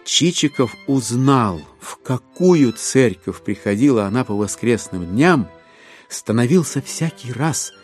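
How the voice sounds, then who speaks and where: A man narrating a literary audio drama in an expressive, theatrical and wry manner. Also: medium pitch, measured pace, soft background music.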